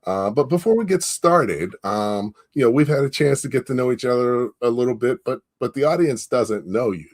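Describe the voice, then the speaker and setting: A man's voice: medium pitch, lively intonation, normal speed, hardly any pauses. A man hosting a business interview over a video call, encouraging and loose, with many fillers. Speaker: interview host. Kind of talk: casual interview.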